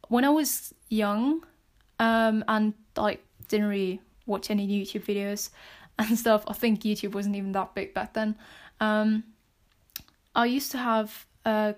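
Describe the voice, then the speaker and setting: A woman with a British accent hosting a casual solo podcast, chatting in a rambling way with many fillers and soft laughs. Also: mid-range voice. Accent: British English. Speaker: podcast host.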